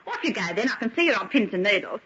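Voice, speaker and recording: A woman's voice: mid-range voice, big pitch swings, very fast, a crowd in the background, lightly acted. An actress playing a brash, restless working-class runaway woman with an exclamatory, colloquial manner in an old radio drama. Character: rough-edged working-class runaway woman, wide-eyed, cheeky, restless. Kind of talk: golden age radio drama acting. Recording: dull, noisy vintage radio recording.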